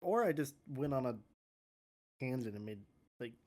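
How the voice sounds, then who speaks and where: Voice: medium pitch, expressive, normal speed, darkish tone, no room sound, studio quality. A game player chatting casually and a little hesitantly with a group. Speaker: Minecraft roleplay player.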